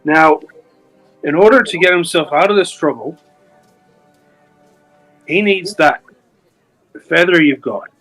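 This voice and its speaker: A player in a tabletop game who talks his way through a deal, patient and persuasive. His voice sits slightly high for a man. Soft music plays behind the table. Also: slow pace, pauses often, rough recording. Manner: persuasive, smooth-talking. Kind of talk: in-character roleplay talk.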